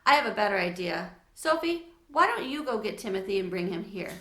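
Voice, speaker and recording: A woman voicing a caring storybook mother who gently and emphatically coaxes her upset daughter. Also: medium pitch, sing-song, a little fast, reverberant. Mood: soothing.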